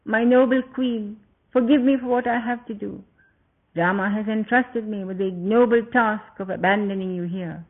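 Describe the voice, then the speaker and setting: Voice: medium pitch, fairly even intonation, moderate pace, lightly acted. A storyteller voicing a loyal young prince, choked with grief as he speaks. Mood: sorrowful. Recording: tinny phone-like lo-fi sound.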